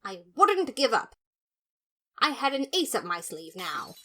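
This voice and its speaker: A woman's voice: a little high, big pitch swings, measured pace, a bit bright. A woman narrating a first-person comic detective story in a dramatic, mock-serious manner. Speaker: fan fiction narrator.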